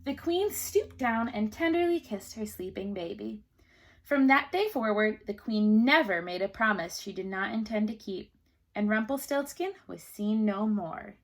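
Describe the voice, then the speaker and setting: A woman's voice: medium pitch, lively intonation, measured pace. A woman teacher reading a story aloud to children, expressively, with chatty questions to the listeners.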